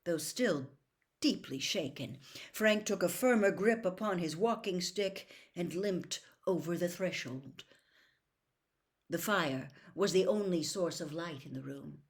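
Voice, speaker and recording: Slow-paced woman reading a suspenseful story. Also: fairly low pitch. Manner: expressive, suspenseful, with hushed breathy emphasis. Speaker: storyteller reading a novel aloud. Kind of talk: dramatic storybook narration.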